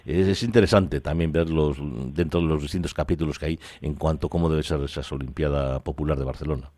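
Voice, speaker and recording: A male radio interviewer with a Spain accent and an extremely low voice puts questions in a conversational style. The recording is dry and close, with no room sound. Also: steady tone, fast, mellow, no room sound.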